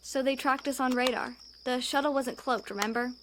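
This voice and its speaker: A woman's voice: high voice, fairly even intonation, normal speed, a bit bright, a little hiss or hum. A woman acting as an exasperated, stubborn young alien with formal, sarcastic wording in an audio drama. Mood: irritated.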